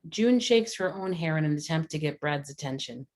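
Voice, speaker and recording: Female narrator for screenplay stage directions; deep-pitched voice, scripted read. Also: animated, moderate pace, a little mellow.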